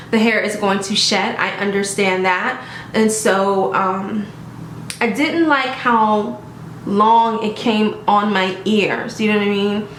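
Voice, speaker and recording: A chatty, upbeat woman with a slightly high voice talks to her viewers in a casual home review. The room around her is roomy and echoey, with a faint hiss underneath. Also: a bit bright. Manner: chatty and upbeat, often addressing viewers as "you guys" and asking "okay?". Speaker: beauty vlogger reviewing a wig. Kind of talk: casual product review vlog. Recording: roomy echo.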